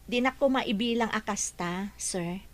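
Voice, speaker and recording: A woman's voice: mid-range voice, unhurried, close to an everyday voice. An actress playing a hardworking young woman who talks back earnestly.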